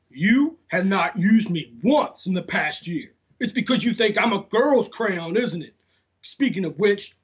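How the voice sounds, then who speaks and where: Man putting on a sassy, husky, high-voiced crayon. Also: heavily acted. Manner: pointed, scolding, sarcastic. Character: sassy, resentful, neglected pink crayon. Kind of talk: children's storybook read-aloud. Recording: dull lo-fi sound.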